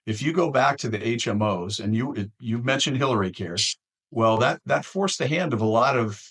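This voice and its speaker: American male podcast host, medium pitch. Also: expressive, normal speed, fairly bright tone, slightly rough. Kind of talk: conversational interview.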